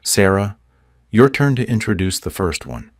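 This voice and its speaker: A man hosting a podcast's vocabulary review, defining words in a measured way. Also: lowish voice, very animated, no room sound, studio quality. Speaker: podcast host.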